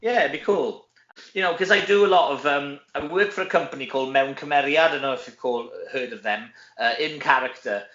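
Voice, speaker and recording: A man's voice: a little high, fairly quick, fairly bright tone, roomy sound, highs cut off. A middle-aged Welsh man, an actor chatting casually as an interview guest, informal and full of tag questions. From Wales.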